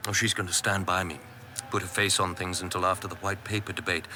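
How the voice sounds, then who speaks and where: An actor playing an ambitious, pragmatic government minister with a controlled, wry manner in radio drama dialogue. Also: male voice, deep voice, level tone, fast, sharp tone, slight background noise. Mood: tense, resigned.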